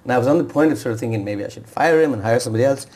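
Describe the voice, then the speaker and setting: A fast-talking man answers questions in a TV interview. He often stops and restarts his sentences, searching for the right words, and his pitch stays in a middling range. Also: a little mellow. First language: Urdu and Punjabi speaker. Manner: fast, hesitant, with many restarts. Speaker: author guest. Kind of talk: TV interview conversation.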